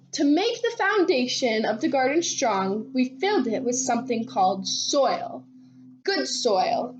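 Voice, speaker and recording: A woman reading a children's storybook aloud expressively, with animated delivery and drawn-out phrase endings. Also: high-pitched. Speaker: read-aloud storybook reader. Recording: dull, lo-fi sound.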